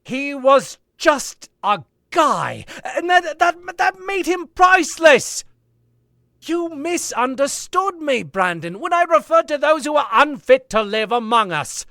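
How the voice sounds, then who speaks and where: A man voices a cultured, coldly accusing author in a radio play. His voice sits very high and bright, moves over a wide range, and is recorded dry and close. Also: big pitch swings, dry recording, exaggerated voice. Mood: grave. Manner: measured, scornful, rising to shouting fury. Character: sophisticated male author, cold and accusing. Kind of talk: 1940s radio acting.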